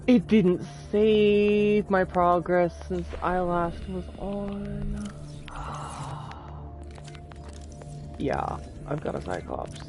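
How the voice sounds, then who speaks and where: A man's voice: high voice, expressive, extremely slow, pauses often. Male game streamer, loose chatty delivery, trips over words, faint music under him. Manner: stammers and repeats words, lots of fillers. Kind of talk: casual live-stream chat with party friends.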